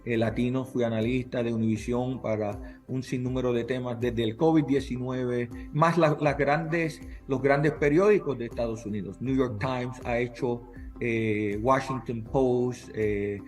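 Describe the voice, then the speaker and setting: A man's voice: medium pitch, measured pace, soft background music. A middle-aged man, a native English speaker talking in Spanish, giving earnest, emphatic interview answers that repeat phrases as he lists points.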